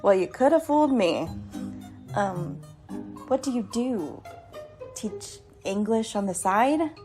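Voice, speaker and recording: A woman's voice: mid-range voice, animated, slow pace, over music. A brash, sassy showgirl, played by a woman in a radio comedy. She talks bluntly and skeptically in a mid-range voice. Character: brash, skeptical female stage dancer named Mitzi. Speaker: actor in a radio play. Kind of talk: comedic old-time radio play acting.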